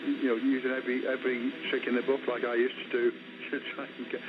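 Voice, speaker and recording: A man talking casually and haltingly as an amateur radio operator over a radio transmission. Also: mid-range voice, monotone, background noise. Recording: muffled radio transmission under loud music. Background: loud ambient music.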